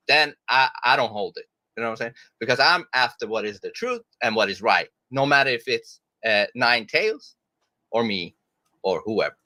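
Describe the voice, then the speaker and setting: A man's voice: medium pitch, sharp tone, no room sound. A man from Sweden giving an opening statement in a debate, hesitant and searching for words, with many fillers.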